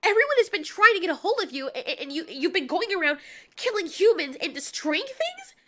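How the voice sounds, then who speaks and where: A woman's voice: sits high, lively intonation, unhurried, fairly bright tone. A woman voicing a distressed female giant moth monster in an audio drama, exclamatory, stammering and incredulous. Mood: shocked, scolding.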